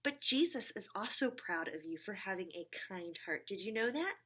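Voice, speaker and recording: A woman's voice: mid-range voice, animated, moderate pace, bright, forceful tone, husky. A woman hosting a church story time for children, speaking encouragingly and simply, with frequent check-in questions, as if chatting with a puppet friend. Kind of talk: talking to a puppet companion for young children.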